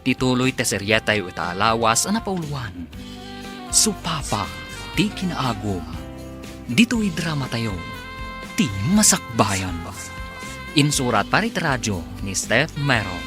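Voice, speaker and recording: A man's voice: mid-range voice, big pitch swings, unhurried, long pauses, music in the background. A man with an Ilocano accent narrating a radio drama serial in a dramatic, scripted announcer style.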